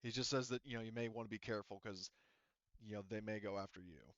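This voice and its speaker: A man's voice: fairly low pitch, fast talker, dry recording, dull audio. A man speaking informally as game master, narrating a tabletop roleplaying game casually and swearing freely.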